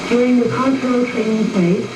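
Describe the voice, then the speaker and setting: A woman reading scripted propaganda film narration in a formal, measured manner, on an old film soundtrack. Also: on the high side, fairly even intonation, echoey room, far from the mic, noisy background. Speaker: documentary narrator.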